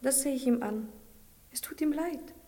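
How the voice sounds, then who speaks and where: A woman's voice: sits high, normal speed, in a large hall, close to an everyday voice. An actress playing an earnest young woman who pleads in court for her fiancé, in a 1960s radio play. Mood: earnest pleading. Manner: earnest, pleading, soft-spoken.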